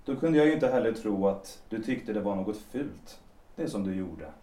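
A man's voice: on the low side, lively intonation, warm tone, slight background noise, close to an everyday voice. A man playing a hesitant, evasive young lover. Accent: Swedish. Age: young adult. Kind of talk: Swedish audio drama acting. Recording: roomy, distant from the mic.

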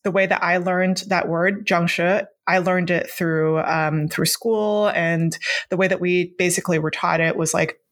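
Chatty woman guest, slightly low voice. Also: fairly even intonation, measured pace. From Hong Kong. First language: Cantonese. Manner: chatty, many fillers and restarts. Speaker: game designer guest. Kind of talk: casual interview conversation.

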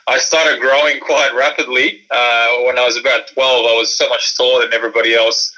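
A man's voice: mid-range voice, flat delivery, a little fast, bright, forceful tone, low-quality recording. A man telling his story as a podcast guest in a candid, conversational way, often repeating words for emphasis. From Australia, born in Croatia. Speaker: podcast guest, former professional basketball player.

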